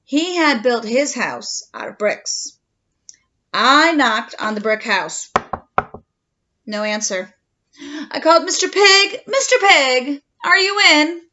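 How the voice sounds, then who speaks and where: Storybook wolf played by a woman: wronged, mock-innocent, high and swooping, slightly muffled recording. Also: a little hiss or hum, exaggerated voice. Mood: wry, self-justifying. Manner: expressive, mock-innocent storytelling with drawn-out dramatic words. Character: aggrieved male wolf telling his own side, slyly self-pitying.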